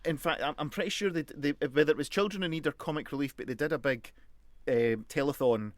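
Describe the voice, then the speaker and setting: A man's voice: on the high side, quick pace, no echo. A middle-aged man co-hosting a radio show, chatting casually and jokily between bits of read-out trivia. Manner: jokey, chatty.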